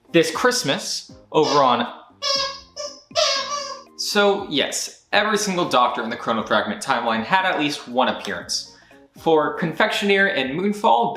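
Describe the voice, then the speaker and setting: A man's voice: medium pitch, pushed and bright. Rambling man recapping with roomy echo. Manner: rambling, self-interrupting, jokey asides. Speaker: channel host. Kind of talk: casual year-end recap monologue. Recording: roomy echo with faint music.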